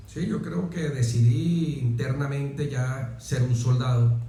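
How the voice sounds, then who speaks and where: A man from Venezuela with a Venezuelan accent, telling personal stories as an interview guest, phrasing deliberately and adding little tag questions. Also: mid-range voice, dark tone, a little hiss or hum. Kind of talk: reflective personal storytelling in an interview. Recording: very echoey, reverberant room.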